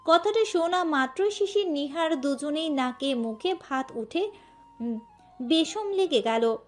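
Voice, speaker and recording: A woman's voice: high-pitched, expressive, measured pace. A woman giving scripted narration of a romantic story. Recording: music bed under voice.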